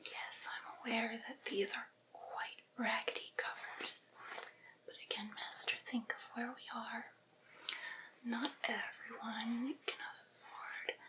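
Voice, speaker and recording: A woman's voice: a little high, sharp tone, low-quality recording. A woman voicing a vampire's devoted familiar in a soothing ASMR roleplay, whispering breathily and coaxing with light scolding. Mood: gently chiding. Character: vampire's doting, patient, gently chiding familiar servant.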